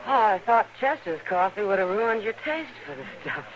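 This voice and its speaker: An actress playing a warm, teasing American saloon woman, speaking familiarly in old-time radio western style. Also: medium pitch, sing-song, normal speed, lightly acted. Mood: teasing, friendly. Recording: noisy old radio drama recording.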